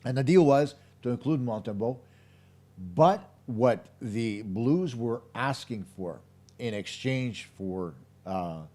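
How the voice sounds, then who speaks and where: A man hosting a live post-game hockey show, his voice hoarse, talking in an emphatic, opinionated way straight to his viewers. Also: medium pitch, lively intonation, slow pace, darkish tone. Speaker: hockey podcast host.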